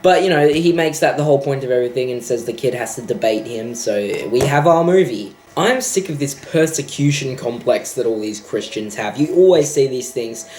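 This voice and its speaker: A man's voice: medium pitch, animated, moderate pace, hardly any pauses, a little mellow, slight background noise. Exasperated guy ranting, roomy echo. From Australia. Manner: profane, exasperated, sarcastic ranting. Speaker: vlogger. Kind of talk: ranting film review. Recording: echoey room.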